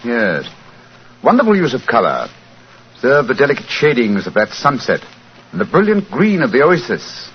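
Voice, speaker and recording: An actor playing an astute, courteous English detective with a British accent in 1940s radio style. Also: male voice, mid-range voice, huge pitch swings, normal speed. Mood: thoughtful, inquiring. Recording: muffled, noisy 1940s radio recording.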